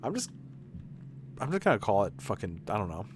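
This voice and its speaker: A man's voice: on the low side, sing-song, a little fast, a little hiss or hum. A man chatting casually on a game livestream, rambling and interrupting himself, with some mild swearing. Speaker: game streamer. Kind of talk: casual livestream gameplay chat.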